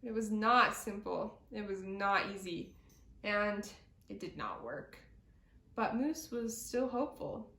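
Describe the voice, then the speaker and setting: A woman reading a picture book aloud to children with deliberate, dramatic, expressive phrasing. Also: mid-range voice. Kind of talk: expressive children's story narration. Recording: roomy echo.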